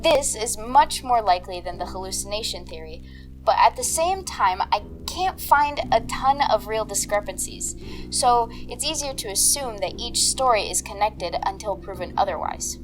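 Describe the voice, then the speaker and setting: A woman's voice: medium pitch, animated, normal speed, soft background music, natural voice, low-quality recording. A woman playing an analytical, unflappable paranormal investigator in an audio drama, speaking in a methodical, precise way. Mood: measured, analytical. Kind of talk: audio drama dialogue, measured theorizing.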